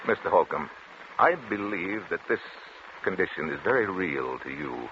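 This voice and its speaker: A reassuring research doctor from vintage radio drama. His voice is very deep, solemn and measured, heard through muffled old broadcast sound with light noise. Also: animated. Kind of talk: 1940s radio drama acting. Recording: muffled, noisy 1940s radio recording.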